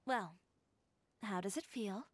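A woman's voice: medium pitch, slow pace, slight background noise, close to an everyday voice. A woman playing an assertive young fashion designer in a video game, composed and frank in manner. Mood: calm, reflective. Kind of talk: scripted video game dialogue.

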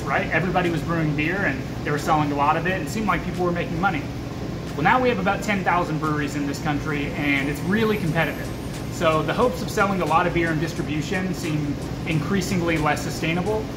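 A man's voice: a little high, poor audio. An American man in his thirties talking candidly to camera, thinking aloud in an explanatory vlog. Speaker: small brewery owner and vlogger. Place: small taproom bar with metal walls, indoors. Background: clear background music.

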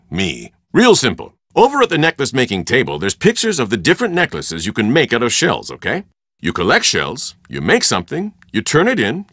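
An actor playing a brash, easygoing middle-aged Hawaiian surf shop dad in a video game, talking in colloquial, clipped phrases. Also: male voice, medium pitch, pushed and bright, no echo, studio quality, exaggerated voice. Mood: casual, dismissive. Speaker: game character: beach shop owner.

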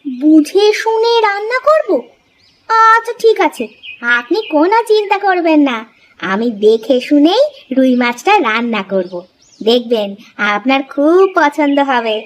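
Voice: high voice, lively intonation, unhurried, slight background noise. A performer voicing a foolish young village wife in a comic cartoon, innocent, earnest and easily puzzled. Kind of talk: Bengali comic cartoon dialogue.

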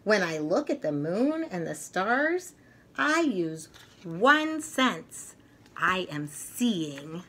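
Slow, animated woman reading to children. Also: fairly low pitch, a little hiss or hum. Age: middle-aged. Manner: expressive, deliberate, sing-song, child-directed delivery. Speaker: children's storyteller and teacher. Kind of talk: picture book read-aloud for young children. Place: furnished room at home, indoors.

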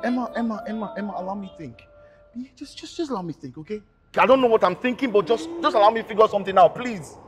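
Anxious, pleading young Nigerian boyfriend. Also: male voice, sits high, lively intonation, fast talker, over music. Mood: stressed, pleading. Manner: hesitant, repetitive pleading. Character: anxious, polite, pleading young boyfriend trying to keep peace. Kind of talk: screen drama acting.

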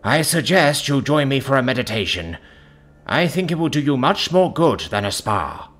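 A man playing a calm, devout male counsellor in an audiobook story, speaking in a measured, earnest, gentle but firm way. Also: mid-range voice, pitched above the performer's own voice. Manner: measured, earnest, preaching in metaphors.

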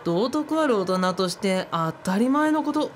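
A woman's voice: mid-range voice, animated, measured pace, faint music behind, close to an everyday voice. A woman playing an opinionated, blunt eighteen-year-old youngster in a radio drama. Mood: indignant. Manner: blunt, grumbling.

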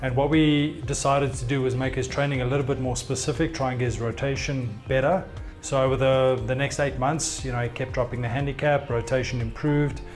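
Mid-pitched man explaining over soft music. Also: fairly even intonation, a little fast, few pauses. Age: 30s. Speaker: golf fitness coach. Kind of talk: explanatory interview talk to camera. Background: background music.

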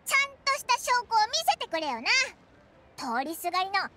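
A woman voicing a small childlike fairy companion in an anime game, squeaky, cheeky and blunt, with brash boyish phrasing. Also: very animated, brisk, very noisy. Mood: suspicious. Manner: brash, boyish informal speech, exclaiming. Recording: studio voice over game music. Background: background music.

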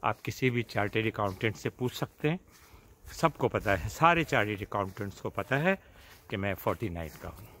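An older man chats casually to the camera while outdoors, pausing often and addressing his viewers directly in a leisurely way. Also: fairly low pitch, animated, a bit bright. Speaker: vlogger. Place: outdoors in a suburban backyard. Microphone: phone at arm's length.

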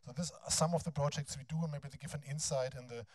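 A man in his fifties with a German accent gives a lecture in a large bright hall on a handheld mic, hesitating often with ums and restarts. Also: mid-range voice, fairly even intonation, slightly rough. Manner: halting, frequent ums and restarts. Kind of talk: academic lecture, then reading a text aloud.

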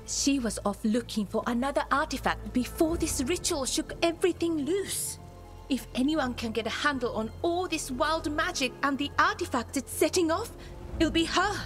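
An actress playing an earnest, self-critical expert in ancient magic in a video game cutscene. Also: female voice, high voice, expressive, normal speed, fairly bright tone, soft background music. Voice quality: earnest, serious.

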